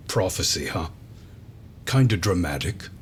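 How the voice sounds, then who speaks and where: A man's voice: low-pitched, animated, unhurried, heavy background noise. A man voicing a smug, sarcastic prisoner in a video game, theatrical and mocking in his delivery. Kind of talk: English video game dub acting.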